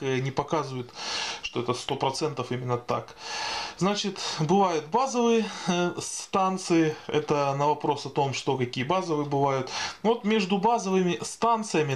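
Higher-pitched man, halting informal explainer. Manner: hesitant, halting, improvised delivery. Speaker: video host explaining radio equipment.

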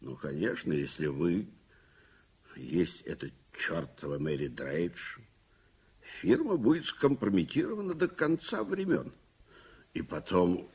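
An actor playing a hot-tempered, blustering businessman in a 1980s Soviet radio drama, husky, emphatic and volatile. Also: low voice, big pitch swings, a little slow, darkish tone, exaggerated voice. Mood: subdued, grim.

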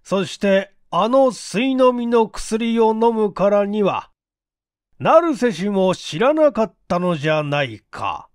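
A man's voice: sits high, big pitch swings, smooth, no room sound, clean studio sound, full character voice. A man voicing a shrewd, self-assured police chief who questions politely but emphatically, in a story reading. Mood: pressing, insistent. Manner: deliberate, emphatic, leading questioning. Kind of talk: acted dialogue in story reading.

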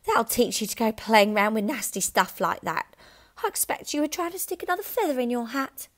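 A woman reading aloud, voicing a bossy middle-aged wife who scolds and lectures in a sharp, dismissive manner. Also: on the high side, fairly quick, voice pushed up, natural voice. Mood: scolding.

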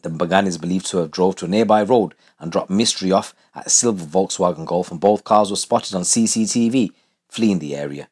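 Deep, husky male crime narrator. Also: brisk, a little mellow. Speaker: narrator of a true crime channel. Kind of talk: scripted true crime narration.

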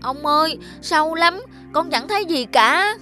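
A woman's voice: high voice, expressive, unhurried, exaggerated voice. A woman voicing a timid, crafty young male servant in a cartoon, deferential and pleading. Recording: studio voice with loud music.

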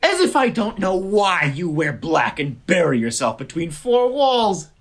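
A woman playing a blustering, hot-tempered, scornful gentleman in a stage-style audio comedy, declaiming with sardonic emphasis in a husky voice. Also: fairly low pitch, very animated, unhurried, full character voice. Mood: mocking. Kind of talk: theatrical audio play comedy acting.

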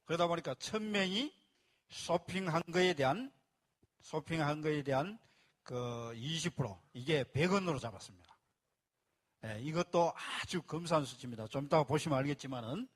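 Higher-voiced man giving a seminar talk. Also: moderate pace. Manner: explains step by step, repeats key phrases, often starts with "자"; speaks to a live audience and asks for applause. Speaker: business presenter explaining a membership plan. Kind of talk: live seminar presentation.

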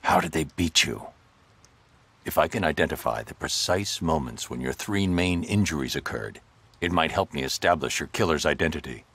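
An actor playing a grim, brooding vigilante detective in a video game, speaking in a terse, grave, deliberate way. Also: male voice, a bass voice, lively intonation, fairly bright tone, breathy edge, full character voice. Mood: grim analysis.

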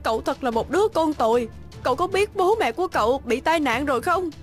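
A woman's voice: high voice, lively intonation, a little fast, faint music behind. An actress playing an indignant, scolding middle-aged woman in a cartoon moral tale. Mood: scolding, upset.

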